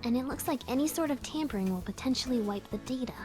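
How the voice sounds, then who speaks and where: An actress playing an excited, proud young student engineer in a visual novel game. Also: female voice, sits high, fast talker. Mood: casual, thoughtful. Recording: music playing behind the voice.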